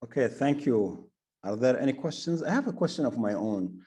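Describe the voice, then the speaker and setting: A man's voice: medium pitch, sing-song, normal speed, warm tone, breathy edge. A man moderating a question session on a video call, calling on speakers and asking a question of his own.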